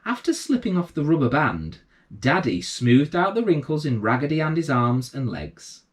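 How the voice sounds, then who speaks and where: A man's voice: fairly high pitch, sing-song, normal speed. A man hosting and reading a children's bedtime story aloud, expressively and addressed to young listeners. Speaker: bedtime story reader and host.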